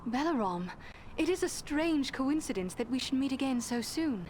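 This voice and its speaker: A woman's voice: high voice, animated, normal speed, a little mellow, a little hiss or hum. A woman playing a poised, serious elf adventurer in a fantasy game, speaking formally and with composure. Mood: pleasantly surprised. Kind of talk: fantasy game cutscene acting.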